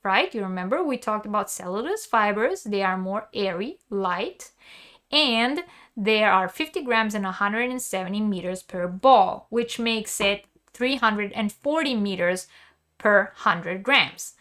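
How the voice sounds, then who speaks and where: Slow-talking woman teaching crochet. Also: mid-range voice, lively intonation, a bit bright. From Israel. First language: Hebrew. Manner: explanatory, many ums and uhs. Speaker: crochet teacher hosting a live stream. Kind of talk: live-stream tutorial, reading chat.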